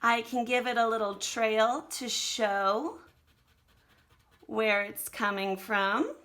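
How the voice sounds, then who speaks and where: A woman's voice: on the high side, slow pace, fairly bright tone. An American woman teaching children to draw, talking them casually through each step in an encouraging way. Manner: encouraging, talks directly to young pupils. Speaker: art teacher giving a drawing lesson to children. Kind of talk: casual step-by-step demonstration while drawing.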